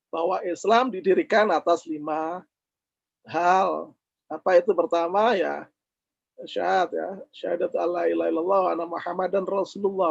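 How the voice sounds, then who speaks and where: A man teaches a religious lesson while hoarse from a cold, his voice high and gravelly. He speaks in a measured way and recites Arabic passages. The sound is dry and muffled, like an online call. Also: dry recording. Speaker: Islamic teacher. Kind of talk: religious lecture.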